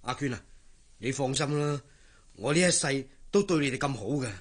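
Actor as an earnest, husky young fiancé. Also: male voice, mid-range voice, expressive, moderate pace, natural voice. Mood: tender, reassuring. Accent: Hong Kong Cantonese. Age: young adult. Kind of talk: Cantonese radio drama acting.

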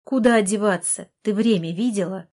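Audiobook role: resentful young teen boy, sarcastic, quick to snap, voiced by a female narrator. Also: medium pitch, darkish tone, close to an everyday voice. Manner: sarcastic, snappish. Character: sulky, snide thirteen-year-old boy.

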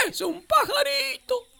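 A woman playing a friendly, excitable capybara in children's radio theater, with an Argentine accent and a drawn-out, giggly delivery. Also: very high-pitched, creaky, heavily acted. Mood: amused, exclaiming. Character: friendly excitable capybara, animal guide to a little girl.

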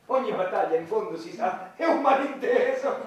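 An actor playing an obsequious, earnestly absurd official in a satirical radio play, with a formal, deferential manner. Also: male voice, sits high, expressive, moderate pace, a crowd in the background. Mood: eager, earnest. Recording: very echoey room, distant mic, noisy.

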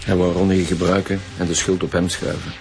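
Actor as a low, dry Flemish detective boss, music under. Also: male voice, level tone, fairly quick, darkish tone, close to an everyday voice. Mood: measured. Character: Romain Van Deun, senior detective lead. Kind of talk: radio drama acting.

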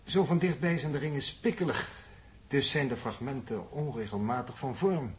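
An actor playing an analytical, matter-of-fact spaceship navigator in a 1960s Dutch radio play. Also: male voice, medium pitch, normal speed, natural voice.

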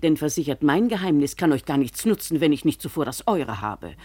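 A woman's voice: low voice, very animated, brisk, warm tone, husky, dry recording, heavily acted. An actress playing a scheming, coaxing and insistent woman companion in a 1950s German radio drama, in a conspiratorial, teasing, theatrical manner.

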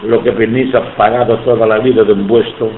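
Older Italian-accented man, low voice. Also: flat delivery, brisk, echoey room, phone-quality sound, slight background noise. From Sicily, Italy. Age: 70s. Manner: emphatic, indignant about his pension. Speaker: interviewee. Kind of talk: oral history interview.

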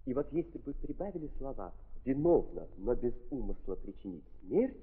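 Very husky, earnest gentleman juror. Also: mid-range voice, big pitch swings, normal speed, full character voice. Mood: troubled, earnest. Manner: earnest, emphatic explaining. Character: troubled, earnest juror reasoning about a mistaken verdict. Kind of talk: 1930s Russian radio drama acting. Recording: tinny 1930s recording, long reverb.

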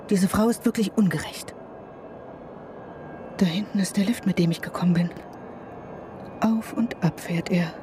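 A woman's voice: medium pitch, background noise, natural voice. An actress playing an anxious, irritable mother who dreads travel and contact, muttering fretfully in a radio drama. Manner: fretful inner monologue, often dropping to a whisper. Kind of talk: German radio drama acting.

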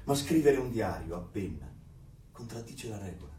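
A man's voice: fairly low pitch, sing-song, normal speed, warm tone, far from the mic. A man acting a fatalistic secret dissident in a radio drama, introspective and sombre. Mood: resigned, anxious. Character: brooding, fatalistic secret dissident keeping a diary. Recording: echoey, off-mic, faint background noise.

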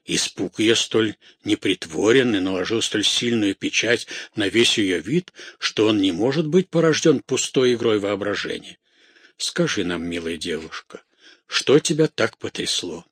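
Casting: male reader as courteous nobleman, lowish husky voice, gentle and serious, gothic storybook. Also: animated, measured pace, no echo, exaggerated voice. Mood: earnest curiosity. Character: calm, courteous, reassuring nobleman, a marquis.

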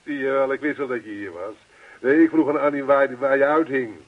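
An actor playing a jovial, pushy middle-aged neighbour in a radio play, hearty, chummy and insistent. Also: male voice, mid-range voice, fairly quick, close to an everyday voice. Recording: dull lo-fi radio play recording.

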